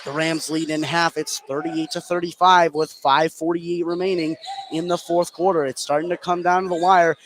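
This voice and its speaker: Over clear background noise, a high-voiced man gives live basketball play-by-play. He follows the ball pass by pass and lifts into excited shouts when the big plays come. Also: moderate pace. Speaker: play-by-play announcer. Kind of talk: live basketball radio commentary. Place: indoor school basketball gym, live courtside. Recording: noisy live gym broadcast.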